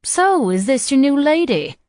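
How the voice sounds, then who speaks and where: A woman voicing a chatty, doting elderly woman who talks slowly and tenderly, in an audiobook. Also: high-pitched, very animated, darkish tone, no echo, clean studio sound, voice pushed up. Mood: sweet, doting. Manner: slow, lilting and motherly.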